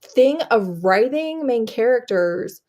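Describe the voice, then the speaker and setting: Slow-paced woman chatting about books. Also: a little high, lively intonation, a little mellow. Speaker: book discussion panelist. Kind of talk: casual book chat.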